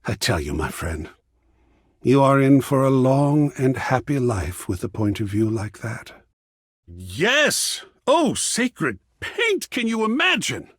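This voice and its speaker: An actor playing an excitable, kindly, philosophical art gallery curator in a video game, speaking in a florid, theatrical way that savors words. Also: male voice, mid-range voice, takes it slowly, slightly rough, dry recording, clean studio sound, heavily acted. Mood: warmly admiring, then excited. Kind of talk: theatrical game character dialogue.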